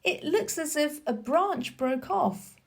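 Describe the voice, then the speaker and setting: A woman voicing an imperious, excitable young boy invalid full of wonder, in a storybook reading. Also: sits high, slow pace, vocal fry, voice pushed up, heavily acted. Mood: curious.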